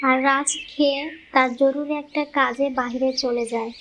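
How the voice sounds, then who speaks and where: A woman narrating a cartoon moral story in a soft, even voice. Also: high voice, moderate pace, a little hiss or hum. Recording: muffled lo-fi audio.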